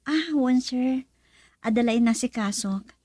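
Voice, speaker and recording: An actress in a radio drama playing a polite young bar waitress serving customers. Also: female voice, high voice, slow pace, a little mellow, no echo, clean studio sound. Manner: polite, deferential service talk.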